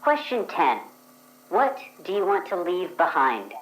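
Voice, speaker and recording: A woman voicing a formal interviewer who reads out numbered questions in a measured way. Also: lowish voice, very animated. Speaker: dream questionnaire voice. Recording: echoey room sound.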